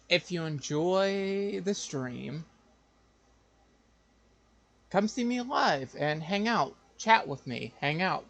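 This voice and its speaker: Gravelly, rambling male game streamer. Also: on the high side, a bit bright. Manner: rambling, repetitive, halting. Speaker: live streamer. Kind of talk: casual game stream chat.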